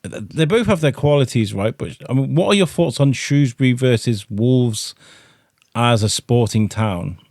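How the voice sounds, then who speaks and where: A man hosts a podcast interview in a loose, joking manner. His voice is mid-pitched and recorded dry, with no room sound. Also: animated, normal speed, darkish tone, no room sound. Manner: chatty, jokey, with playful impressions. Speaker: podcast host.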